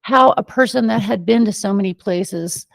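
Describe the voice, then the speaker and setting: A woman's voice: mid-range voice, normal speed, creaky, no room sound. An American woman being interviewed, reflecting on personal experiences and thinking aloud in loose, run-on sentences. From United States, Venezuela and Argentina. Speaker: interview guest.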